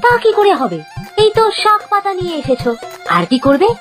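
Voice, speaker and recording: High, whiny little boy. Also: very animated, fairly quick, fairly bright tone, soft background music, exaggerated voice. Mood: sulky. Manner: wheedling, sulky. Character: whiny, picky, pleading young boy. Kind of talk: Bengali children's cartoon acting.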